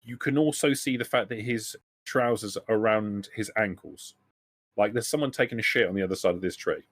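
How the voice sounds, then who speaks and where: A man running a tabletop roleplaying game, improvising narration in a halting way, often repeating and rephrasing his words. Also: lowish voice, a bit bright, dry recording. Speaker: game master.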